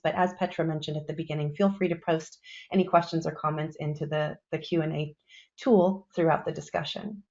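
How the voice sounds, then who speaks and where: Low-voiced woman moderating a panel. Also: muffled recording. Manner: friendly, organized, guiding questions. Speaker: webinar moderator.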